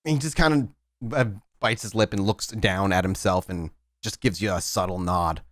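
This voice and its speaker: A man's voice: deep voice, no room sound. Man narrating a game, close and dry. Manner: halting, descriptive, dramatic pauses. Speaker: game master. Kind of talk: improvised narration of a tabletop game.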